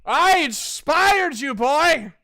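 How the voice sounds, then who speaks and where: A man voicing a boastful, teasing bard with a loud, brash manner in a tabletop game. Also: very high-pitched, expressive, extremely slow, no room sound, voice pushed up, heavily acted.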